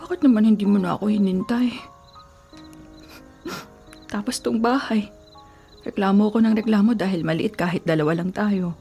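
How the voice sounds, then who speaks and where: A woman playing a grieving young college student and devoted girlfriend in a Filipino radio drama, speaking softly and emotionally, at times in whispers. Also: mid-range voice, moderate pace, gravelly, pauses often, soft background music, lightly acted. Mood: grieving, tearful. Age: young adult. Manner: soft, emotional, breaking into whispers.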